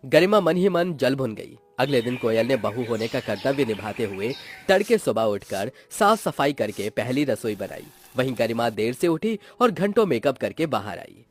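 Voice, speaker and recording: Male narrator for cartoon moral stories, expressive and melodramatic, very animated intonation. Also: medium pitch, moderate pace, few pauses, faint music behind. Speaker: story narrator. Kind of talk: scripted dramatic storytelling narration for a cartoon moral tale.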